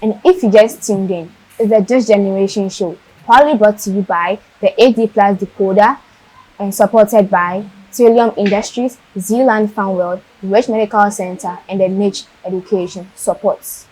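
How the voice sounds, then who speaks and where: A woman's voice: mid-range voice, steady tone, normal speed, a little mellow, slight background noise, poor audio. A woman hosting a TV show, introducing segments in a friendly, chatty way. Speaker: TV show host. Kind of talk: presenting a youth TV show.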